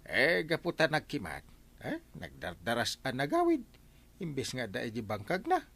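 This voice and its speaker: A man playing a teasing, excitable village captain in a comedic Ilocano radio drama, with an Ilocano accent and lots of exclaiming. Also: medium pitch, moderate pace, vocal fry, heavily acted. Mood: explaining. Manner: animated, given to exclaiming and chuckling.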